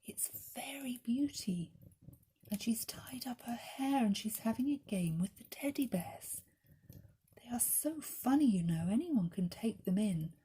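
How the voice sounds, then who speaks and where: A woman voicing a knowing, amused young boy in a storybook, confiding in hushed tones. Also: on the high side, expressive, a little slow, slightly rough, full character voice, rough recording.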